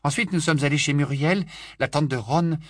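A man voicing a bold young witch who speaks with warmth and emphasis in a storybook reading. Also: a little high, moderate pace, no echo, studio quality, pitched above the performer's own voice, natural voice. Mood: eager. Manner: lively, emphatic. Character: bold young witch, spirited auror woman.

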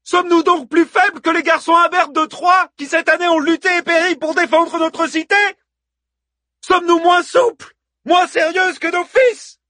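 A man's voice: extremely high pitch, fairly even intonation, normal speed, sharp tone, no echo, voice pushed up. A man voicing a fiery, robust Trojan woman who harangues a crowd with impassioned, bellowing oratory in an epic audiobook. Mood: rousing, indignant. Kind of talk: audiobook character voice, epic fiction.

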